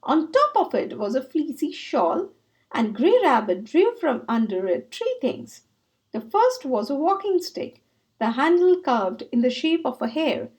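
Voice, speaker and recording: High-pitched, expressive woman storyteller. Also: a little slow. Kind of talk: children's book read-aloud narration.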